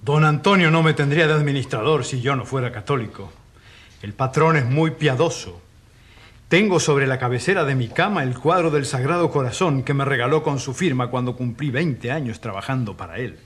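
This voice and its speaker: Husky, pious, grumbling estate steward. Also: male voice, mid-range voice, normal speed, a bit bright. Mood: reverent, smug. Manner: deferential, gossipy, complaining. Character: pious, servile male hacienda steward, indignant and sly. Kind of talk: radio drama acting.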